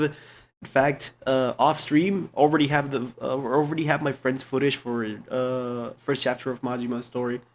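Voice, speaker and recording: A young man streamer with a low speaking voice, talking in a rambling, slangy way full of restarts and fillers, close to a desk mic at home. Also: gravelly, a little hiss or hum. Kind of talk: casual chat. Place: home computer room.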